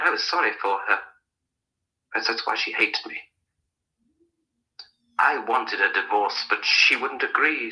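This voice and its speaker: An actor playing an evasive, anguished husband on the run in a radio murder mystery, speaking in emphatic, hesitant bursts. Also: male voice, mid-range voice, sharp tone, rough recording. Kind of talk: radio drama acting.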